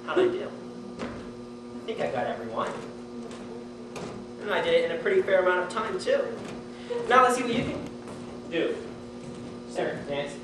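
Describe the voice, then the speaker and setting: A man's voice: high-pitched, sing-song, moderate pace, pauses often, noisy background. Earnest, polite young suitor, played by a man in a stage comedy; distant mic, heavy echo. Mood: earnest, proud. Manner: eager, polite, plain-spoken. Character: earnest, awkward young man on a blind date. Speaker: student stage actor. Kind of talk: live one-act stage comedy acting. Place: theatre stage, recorded from afar.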